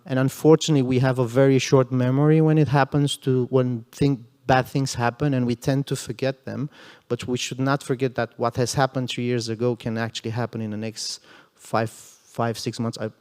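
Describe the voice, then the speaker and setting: A man's voice: medium pitch, darkish tone. Earnest man on a reverberant panel stage. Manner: earnest, emphatic, many "you know" asides. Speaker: security panelist. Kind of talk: conference panel answer. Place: conference hall panel stage. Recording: long hall reverb.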